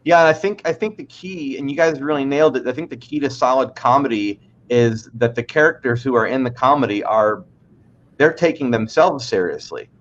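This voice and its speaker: A man's voice: medium pitch, normal speed, lo-fi sound. A man hosting a podcast interview, who asks questions with stammering restarts. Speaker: podcast host. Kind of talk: conversational interview.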